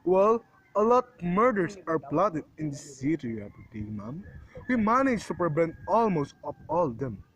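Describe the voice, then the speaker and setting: A woman's voice: lowish voice, sing-song, normal speed, low-quality recording. A woman playing a weary, dismissive desk police officer in an amateur radio play, placating and patronizing.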